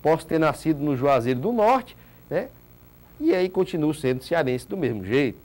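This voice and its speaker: A man's voice: fairly high pitch, normal speed. A man in his thirties from northeastern Brazil, giving a recorded exam-prep lecture in a studio and answering his own rhetorical questions. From Ceará, Brazil. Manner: animated, asks rhetorical questions and repeats key points. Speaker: teacher. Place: green studio set.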